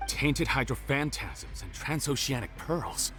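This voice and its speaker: A man's voice: medium pitch, animated, a little slow, a bit bright, faint music behind. A man voicing a smug, scheming villain in a video game, with a theatrical, taunting delivery. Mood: intrigued. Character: scheming male villain, smug, mocking, bargaining.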